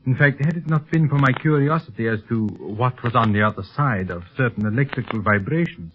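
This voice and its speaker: An actor playing a suave, secretly criminal scientist with a formal, condescending manner in a 1930s radio serial. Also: male voice, mid-range voice, a little fast, lightly acted. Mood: smooth, boastful. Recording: crackly, narrow-band 1930s radio recording.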